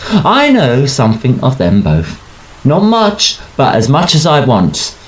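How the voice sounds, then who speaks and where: An actor playing a brisk, opinionated young Victorian Oxford sportsman with a British accent in an audio drama. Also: medium pitch, huge pitch swings, poor audio. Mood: cautioning. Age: young adult. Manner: brisk, gentlemanly, judgmental. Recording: clear background ambience noise.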